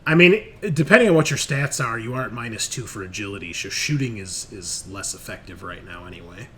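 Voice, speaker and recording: A man's voice: fairly low pitch, lively intonation, fairly quick, bright, forceful tone, a little hiss or hum. A man acting as game master in an online tabletop game, switching between explaining rules and narrating the action.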